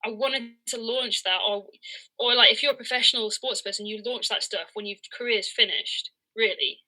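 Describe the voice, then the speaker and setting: A woman's voice: on the high side, normal speed, pushed and bright, poor audio. A woman in her early thirties giving a reflective, conversational interview, speaking candidly and hesitantly as she searches for words. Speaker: interviewed professional cricketer and coach.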